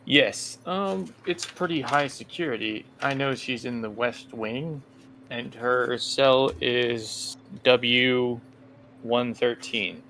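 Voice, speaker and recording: Male game master, unhurried with vocal fry, improvising over faint noise. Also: mid-range voice, pushed and bright. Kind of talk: improvised tabletop role-play.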